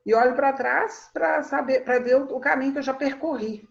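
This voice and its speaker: A woman in her sixties telling family stories in a relaxed conversation, laughing often and repeating words as she starts her thoughts. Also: sits high, normal speed, creaky, lo-fi sound. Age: 60s. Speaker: guest storyteller. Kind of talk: reflective conversational storytelling.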